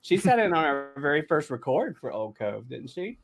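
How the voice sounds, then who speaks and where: A man's voice: on the high side, big pitch swings, moderate pace, vocal fry, soft background music. A man hosting a casual behind-the-scenes podcast conversation, talking in a chatty, jokey way. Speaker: podcast host and showrunner.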